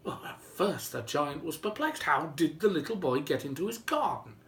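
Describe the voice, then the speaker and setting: A man's voice: a little high, sing-song, normal speed, fairly bright tone. A man retelling a fairy tale aloud in a theatrical, droll, conversational storytelling style. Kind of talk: animated oral retelling of a fairy tale with playful asides.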